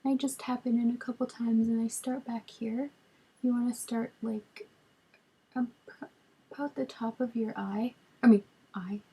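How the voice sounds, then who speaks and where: A woman in her twenties with a raspy voice from a cold, chatting casually through a makeup tutorial, recorded on a webcam in a small bedroom. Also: fairly high pitch, unhurried, poor audio. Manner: relaxed, chatty, lots of ums while applying makeup. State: hoarse from a cold. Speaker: beauty vlogger. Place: small bedroom with storage shelves, indoors. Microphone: webcam at arm's length.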